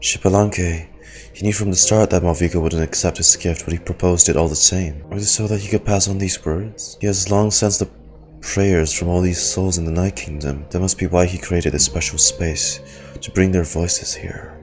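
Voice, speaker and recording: Deep-voiced man casually reacting to a game. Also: steady tone, normal speed, a little mellow. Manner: exclamatory, slangy, hums along. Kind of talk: casual live reaction commentary. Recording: game music behind the voice.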